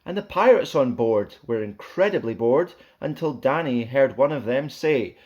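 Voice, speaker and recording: A man's voice: mid-range voice, expressive, moderate pace, a little mellow, dull audio. Man reading a playful rhyming children's story. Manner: playful, theatrical, sing-song rhythm. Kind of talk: animated read-aloud of a rhyming children's story.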